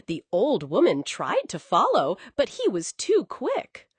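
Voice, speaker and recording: A woman narrates a children's bedtime story in a coddling, expressive manner. Her pace is slow and her pitch rises and dips dramatically. The sound is dry and close. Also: slightly rough, dry recording.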